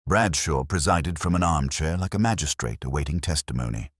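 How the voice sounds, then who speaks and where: A man narrating a scripted story in a measured, formal manner. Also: a bass voice, expressive, husky, no echo, studio quality. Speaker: audiobook narrator.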